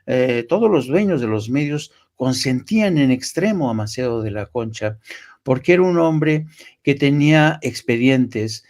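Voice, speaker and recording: Halting, emphatic Mexican man, mid-pitched. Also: expressive, a little mellow. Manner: halting, repeats words, emphatic. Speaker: journalist, guest commentator. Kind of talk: unscripted political commentary.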